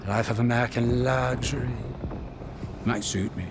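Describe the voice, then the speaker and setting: An actor playing an arrogant, self-important captured Nazi officer in a war video game, speaking in a haughty, deliberate manner. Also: male voice, mid-range voice, steady tone, over music, natural voice. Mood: smug. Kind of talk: cinematic war game acting.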